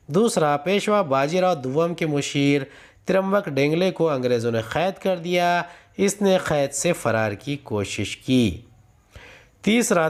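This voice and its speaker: A man teaching a lesson, reading numbered answer points aloud in a formal, measured manner. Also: a little high. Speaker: teacher dictating history answers.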